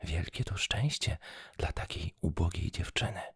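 A man's voice: deep voice, lively intonation, measured pace, fairly bright tone, slightly rough, no room sound, clean studio sound, full character voice. Man whispering as a bossy old field mouse. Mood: coaxing.

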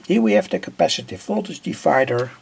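A man explaining a homemade electronic circuit informally, in a halting, deliberate way with pauses. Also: fairly high pitch, very animated, creaky, darkish tone, husky. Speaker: electronics hobbyist presenter.

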